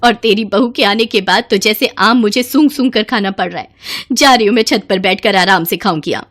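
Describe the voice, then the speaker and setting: A woman's voice: mid-range voice, fairly quick, fairly bright tone, a little hiss or hum, natural voice. A woman plays a middle-aged mother-in-law in a cartoon moral tale. She sounds put-upon and long-suffering, grumbling and scheming in an ordinary mid-range voice.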